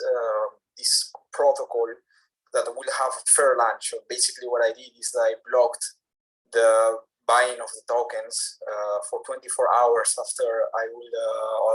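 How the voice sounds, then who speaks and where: Soft-spoken man from Peru, explaining. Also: mid-range voice, low-quality recording. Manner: measured, slightly halting, explanatory. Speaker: guest, project founder. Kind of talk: casual interview. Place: home in France, late at night.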